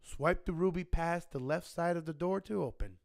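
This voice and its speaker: A patient, knowing male inventor guiding a pupil in a radio drama. His voice is fairly high for a man, with brisk, assured instruction. The sound is dry and close. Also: creaky, no echo. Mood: instructing. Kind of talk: scripted radio drama dialogue.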